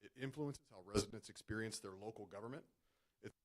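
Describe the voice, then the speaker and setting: Fast-talking man reading a formal statement. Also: fairly low pitch. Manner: formal, reads from prepared remarks, loud voice. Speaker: resident speaking at public comment. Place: council chamber. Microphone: podium microphone, partly dead.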